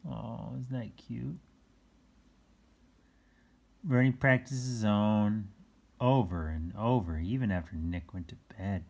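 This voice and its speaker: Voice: medium pitch, slow pace, vocal fry, pauses often, a little mellow, husky, lo-fi sound. A person reading a children's picture book aloud in an informal, chatty way, adding asides and explaining words simply to young listeners.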